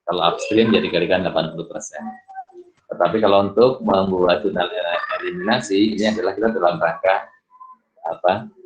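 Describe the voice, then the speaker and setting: A man's voice: deep voice, steady tone, brisk, lo-fi sound, background noise. A man giving an informal worked-solution lesson as a lecturer, thinking aloud step by step and often asking himself questions before answering. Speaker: accounting lecturer.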